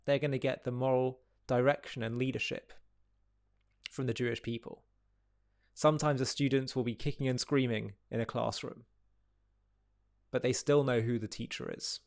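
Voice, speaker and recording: Measured male presenter, close dry sound. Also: medium pitch, fairly even intonation, vocal fry, dry recording. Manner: measured, deliberate, phrase by phrase. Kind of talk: reflective commentary to camera.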